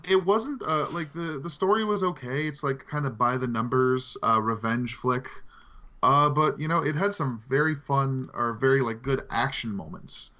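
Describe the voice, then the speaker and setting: A man's voice: medium pitch, moderate pace, bright, forceful tone. A man co-hosting a podcast, giving film opinions in casual conversation, with slightly dull, lo-fi sound. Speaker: podcast co-host.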